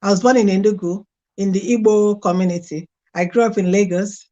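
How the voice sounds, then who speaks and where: A woman's voice: mid-range voice, fairly even intonation, fairly quick, dark tone. A middle-aged woman from Nigeria with a Nigerian accent, a guest frankly telling her life story in an interview. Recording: dull lo-fi sound.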